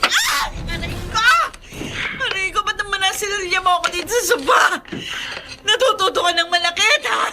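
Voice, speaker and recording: A woman's voice: extremely high pitch, animated, normal speed, crowd noise. A Filipino actress playing a meek, easily distressed young married woman in an emotive radio drama. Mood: alarmed, hurt. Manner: emotive, melodramatic. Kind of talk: Tagalog radio drama acting.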